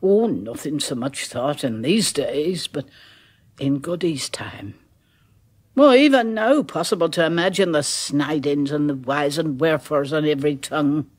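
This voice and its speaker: A superstitious old countrywoman, slow and deliberate in her speech. She speaks in rustic dialect and lets her voice sink to an uneasy whisper, as in an old radio play. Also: deep voice, expressive. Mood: ominous. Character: older village woman, a nervous, superstitious local. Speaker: village neighbour. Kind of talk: radio drama acting.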